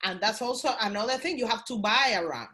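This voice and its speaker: A woman's voice: a little high, animated, quick pace, pushed and bright, slightly rough. A woman hosting a bilingual interview podcast, asking broad questions and chiming in with short agreements.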